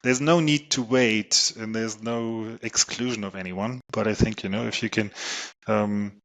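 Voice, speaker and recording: A middle-aged man with a German accent, a guest on an interview podcast, answering with many pauses and fillers. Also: fairly low pitch, steady tone, a bit bright. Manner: hesitant, many ums and you knows. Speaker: guest, solar company technology chief.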